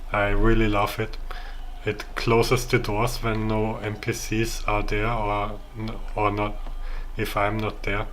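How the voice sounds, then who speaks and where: Casting: Austrian-accented man for casual game walkthrough; halting, very slow delivery, monotone, noise behind the voice. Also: fairly low pitch. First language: German. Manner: halting, searching for words. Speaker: gamer showing his own game build.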